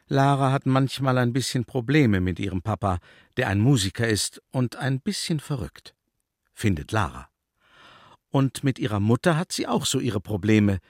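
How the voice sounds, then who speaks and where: A man narrating a scripted children's story in short, deliberate phrases. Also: lowish voice, no room sound, studio quality. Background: prominent guitar music.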